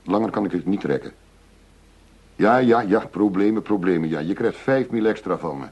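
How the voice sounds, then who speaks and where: An actor playing a shrewd, commanding undercover operator posing as a criminal dealer in a radio drama, clipped and authoritative. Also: male voice, deep voice, expressive, normal speed, darkish tone, slight background noise. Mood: tense, commanding. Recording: dull lo-fi radio drama recording.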